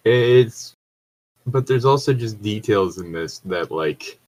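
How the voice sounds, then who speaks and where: Low-pitched man, casually rambling commentary. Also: expressive, slow, darkish tone, rough recording. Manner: sweary, rambling, incredulous. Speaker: co-host reading and reacting to online horror stories. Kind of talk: casual commentary and reading aloud.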